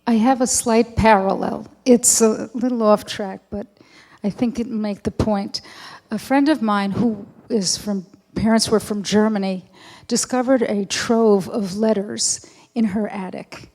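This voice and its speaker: A woman's voice: mid-range voice, steady tone, a little slow, darkish tone. A woman audience member telling an anecdote at a public event. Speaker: audience member making a comment. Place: large indoor event hall. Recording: echoey hall with long reverb.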